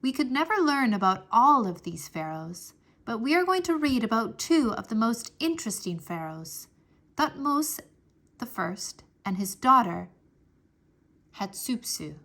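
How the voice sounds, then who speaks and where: Bright, high-voiced woman reading to children. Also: expressive, unhurried. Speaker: read-aloud narrator. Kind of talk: children's history audiobook read-aloud.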